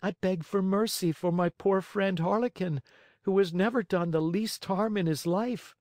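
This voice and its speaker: A man's voice: high voice, normal speed, a little mellow, no room sound, clean studio sound, voice pushed up, natural voice. A man voicing a small wooden boy marionette, earnest, brave and kind, in a storybook reading. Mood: tearful pleading. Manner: pleading, earnest delivery.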